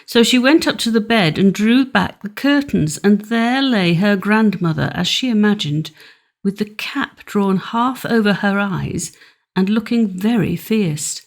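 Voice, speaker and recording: A woman narrating a fairy tale audiobook in a measured, expressive storytelling manner. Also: mid-range voice.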